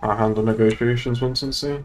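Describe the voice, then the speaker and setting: A man's voice: mid-range voice, fairly even intonation, brisk, a little mellow. A young man talking in online police roleplay as a game streamer and negotiator. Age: young adult.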